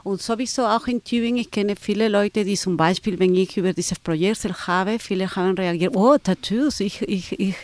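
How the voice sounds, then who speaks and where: Woman speaking halting German. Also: mid-range voice, a little mellow, dry recording. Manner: halting non-native German with many fillers and restarts. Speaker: photographer and event organizer. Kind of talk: interview answers.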